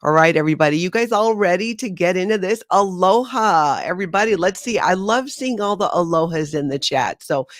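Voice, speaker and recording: A middle-aged woman from Hawaii hosting a casual livestream, talking in a chatty, animated way with incredulous asides. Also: lowish voice, brisk, hardly any pauses, no room sound, clean studio sound. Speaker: channel host.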